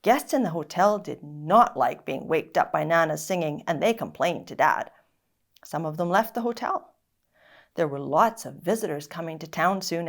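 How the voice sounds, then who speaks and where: Expressive woman storyteller with lively pitch. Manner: dramatic, expressive. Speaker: story reader. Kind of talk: expressive children's story narration.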